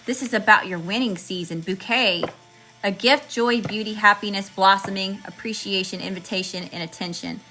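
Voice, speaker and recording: A woman reading tarot cards for her viewers in a chatty, slangy way, calling them y'all and listing card meanings one word at a time, with her voice going hoarse. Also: medium pitch, moderate pace, sharp tone, poor audio. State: losing her voice. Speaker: tarot reader channeling messages. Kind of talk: casual spiritual reading to viewers.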